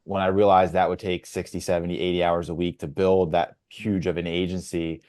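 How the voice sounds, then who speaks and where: A man's voice: deep voice, level tone, fairly quick, darkish tone. A man in his forties with an American accent, speaking in an earnest, reflective way in a casual roundtable. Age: 40s. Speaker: podcast panelist.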